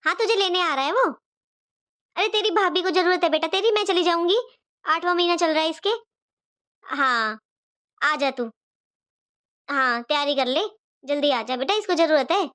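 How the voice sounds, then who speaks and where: A high-voiced middle-aged mother-in-law acted by a woman from a cartoon family drama. She is caring but fretful, fussing over everyone and repeating her points insistently. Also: moderate pace, long pauses, fairly bright tone. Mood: reassuring, instructing. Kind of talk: animated family drama dialogue.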